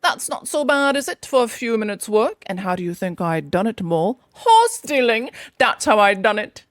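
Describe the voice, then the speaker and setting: A woman voicing a conceited, excitable male toad in a storybook reading, bragging and swaggering. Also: medium pitch, moderate pace, no room sound, studio quality, lightly acted. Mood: boastful.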